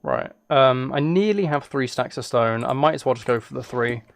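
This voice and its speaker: A man with a mid-pitched voice chats casually while streaming a game. He rambles along in a playful, self-amused way. Keyboard clicks and noticeable background noise sit behind him. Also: moderate pace, vocal fry. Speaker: live streamer. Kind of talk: casual chat with game commentary. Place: indoors at a computer.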